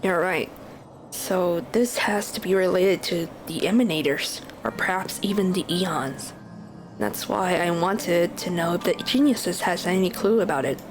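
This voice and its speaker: A woman's voice: on the low side, unhurried. A woman voicing a composed, thoughtful space traveller as she reads video game dialogue aloud. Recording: game music behind.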